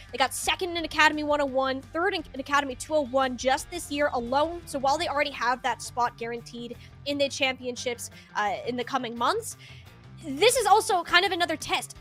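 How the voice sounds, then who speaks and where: A woman's voice: high-pitched, fairly bright tone. A woman giving conversational live esports commentary, chatty and emphatic with informal slang. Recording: music behind the voice. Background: music.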